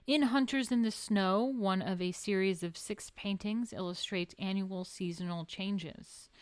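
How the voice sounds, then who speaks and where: A woman with a New York accent reads an art history book aloud to a live audience and breaks off for wry personal asides. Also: lowish voice. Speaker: host reading an art history book to viewers. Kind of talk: casual livestream reading and commentary.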